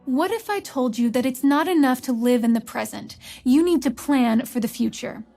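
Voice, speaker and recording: High-voiced, solemn fantasy visionary. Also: female voice, vocal fry, warm tone, soft background music. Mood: solemn, persuasive. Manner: measured, portentous, rhetorical. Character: solemn, commanding female visionary mentor recruiting chosen leaders. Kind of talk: scripted fantasy game voice lines.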